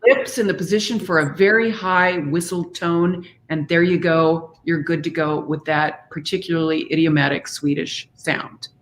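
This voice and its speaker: A woman's voice: sits low, fairly even intonation, normal speed, few pauses, slight background noise. A woman speaking as an interview guest, explaining singing diction conversationally and demonstrating by example. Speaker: interview guest, singer and author.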